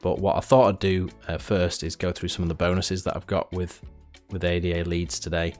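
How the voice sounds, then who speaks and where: A man's voice: deep voice, little pitch movement, brisk, darkish tone, soft background music. A man with a head cold giving a casual product review, chatty and full of "uh" fillers and restarts. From United Kingdom. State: has a head cold, sniffling.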